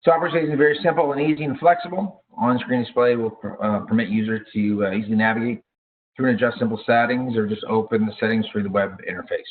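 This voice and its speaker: A man giving informal product training in a halting way, with frequent hesitations and self-corrections. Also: medium pitch, fairly even intonation. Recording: thin, phone-like narrow-band audio.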